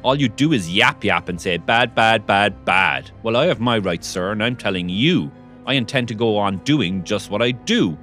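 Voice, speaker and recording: A man voicing a greedy, defiant male factory owner in a storybook, loud and insistent. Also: on the low side, lively intonation, normal speed, soft background music, voice pushed up. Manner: shouting, defiant. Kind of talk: storybook reading.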